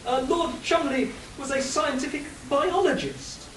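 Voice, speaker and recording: Man as earnest, very high-voiced police inspector. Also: unhurried, a bit bright, a little hiss or hum. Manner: polite, formal. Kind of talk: comic radio play acting. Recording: echoey room, distant mic.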